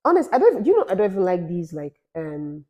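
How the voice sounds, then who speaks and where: A woman's voice: fairly low pitch, very animated, fairly quick, mellow, muffled recording. A young woman from Nigeria co-hosting a casual podcast chat, talking emphatically and rambling with restarts. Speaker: podcast co-host.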